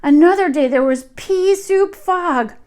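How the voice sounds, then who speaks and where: A woman reading aloud to young children in an expressive storytelling style, with dramatic emphasis. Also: very high-pitched, measured pace. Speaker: children's story reader, teacher.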